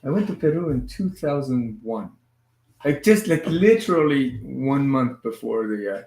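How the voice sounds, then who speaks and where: A man hosting a casual family livestream from home, moving easily between languages with a relaxed, filler-heavy way of talking. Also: medium pitch. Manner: switches between languages mid-sentence. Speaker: livestream host and father. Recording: echoey room sound.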